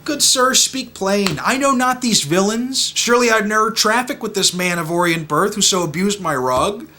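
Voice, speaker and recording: An aggrieved Shakespearean gentleman, acted by a man, with a high, bright voice. He rambles in florid, plaintive phrases in a comic mock-Elizabethan recitation, with faint noise in the background. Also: normal speed.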